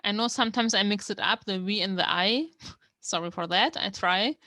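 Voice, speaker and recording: A woman from Germany, hosting a language channel and slowly translating manga lines word by word. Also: medium pitch, sharp tone, no room sound, studio quality. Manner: slow, careful explanation of words. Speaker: language-learning channel host. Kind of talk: reading and translating manga line by line.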